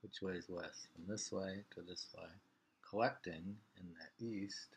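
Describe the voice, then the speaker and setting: An older man in his sixties reading poems aloud at home with informal, halting commentary, repeating lines and names. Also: low-pitched, fairly even intonation, very noisy. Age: 60s. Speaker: poetry reader. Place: small living room at home.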